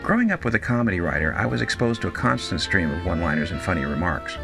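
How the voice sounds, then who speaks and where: A man's voice: low-pitched, sing-song, fast, creaky, over music. A man giving a scripted, storytelling introduction as the producer of a show.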